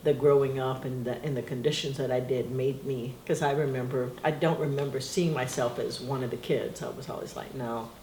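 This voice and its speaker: A woman's voice: rumbling low, steady tone, brisk, hardly any pauses, a little mellow. A middle-aged woman whose first language is Portuguese, being interviewed at home, talking in a rambling, reflective way and laughing often. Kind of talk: casual reminiscing interview. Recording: echoey room with faint noise.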